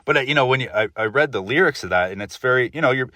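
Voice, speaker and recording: A man hosting a casual podcast interview, talking haltingly, repeating words and often saying "you know". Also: medium pitch, lively intonation, sharp tone, no echo, recorded from a distance.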